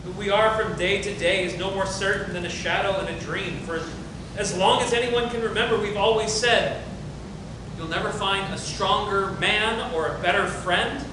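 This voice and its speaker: A man playing a grieving, loyal friend who delivers a grave, halting, poetic monologue in a stage tragedy reading. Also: natural voice. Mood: grieving, solemn. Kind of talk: stage play table reading. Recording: very echoey room from far off, rumbling noise.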